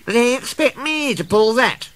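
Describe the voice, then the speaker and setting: A man's voice: sits high, sing-song, moderate pace, pushed and bright, voice pushed up, full character voice. A man voicing a vain, cheerful female railcar engine with an affected, self-important manner in a children's storybook. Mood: indignant. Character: vain, haughty, cheerful female diesel rail car.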